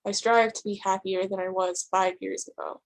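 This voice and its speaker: A woman performer giving a candid, reflective answer in short, deliberate phrases. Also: medium pitch, level tone, rough recording. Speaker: solo performer being interviewed.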